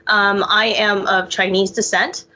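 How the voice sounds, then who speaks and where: An American woman engineer answers interview questions in a relaxed way, often pausing with ums. Her voice is bright and forward. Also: mid-range voice. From United States.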